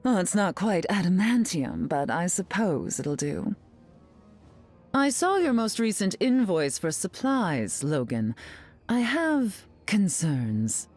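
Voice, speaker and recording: A woman's voice: medium pitch, sing-song, slow pace, darkish tone, soft background music. An actress playing a haughty, sardonic, glamorous telepathic queen in a video game, drawling with dry mockery. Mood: cool, probing. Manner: haughty, drawling, dryly mocking. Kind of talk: video game character voice lines.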